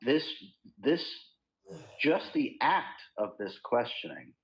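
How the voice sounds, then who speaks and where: A man, a podcast guest, answering in a measured, reflective way. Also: medium pitch, lively intonation, poor audio.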